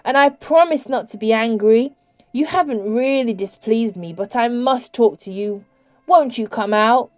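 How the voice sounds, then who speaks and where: A woman voicing a male vampire, a dark angel and cruel master given to coaxing and commanding in a storybook. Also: high voice, measured pace, pitched above the performer's own voice. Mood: coaxing, sweet. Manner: sweetly coaxing turning to commanding shouts.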